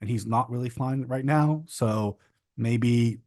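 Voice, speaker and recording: Casting: young guy, chatty and rambling, mid-pitched voice, dry close sound. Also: slow pace, a little mellow, no room sound. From Czech Republic. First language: Czech. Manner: rambling, restarts and stacks of fillers. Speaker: podcast guest. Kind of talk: casual interview chat.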